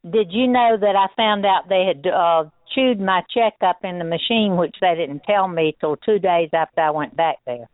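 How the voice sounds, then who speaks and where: A woman's voice: lowish voice, normal speed, hardly any pauses. A plain-spoken, insistent woman making a customer complaint in a phone conversation. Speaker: customer calling about a refund. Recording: tinny, narrow telephone line.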